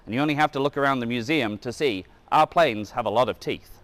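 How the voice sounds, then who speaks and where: A man's voice: mid-range voice, fast, fairly bright tone. A man reading a children's book aloud as a museum guide, adding casual asides and now and then correcting his slips. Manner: stumbles over words and corrects himself.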